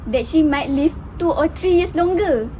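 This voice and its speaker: A woman's voice: high voice, moderate pace, warm tone, slight background noise, close to an everyday voice, rough recording. A woman playing a grieving, tormented son in a stage play, with earnest, formal theatrical phrasing. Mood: anguished. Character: grieving, tormented son Heinrich mourning his mother.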